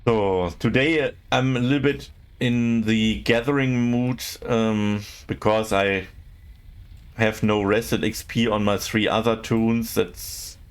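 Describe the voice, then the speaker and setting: A man, speaking English as a while streaming a game and chatting casually with viewers, often pausing to search for words. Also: fairly low pitch, steady tone, clear, resonant tone. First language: not English. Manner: halting, searching for words, many fillers.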